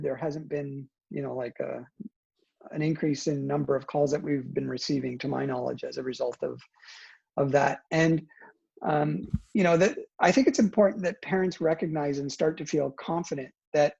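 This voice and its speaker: A man's voice: fairly high pitch, gravelly, darkish tone, breathy edge, lo-fi sound. A man whose first language is Italian, a guest answering interview questions in a thoughtful, hedging way, tagging his points with "right?". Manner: thoughtful, hedging, frequent "you know" and "right?". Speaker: guest, education professor.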